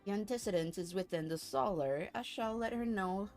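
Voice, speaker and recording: A woman's voice: mid-range voice, expressive, normal speed, a little mellow, soft background music, lightly acted. A woman voicing a polite, welcoming young female receptionist in a video game, formal and eager to help. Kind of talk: video game dialogue read aloud.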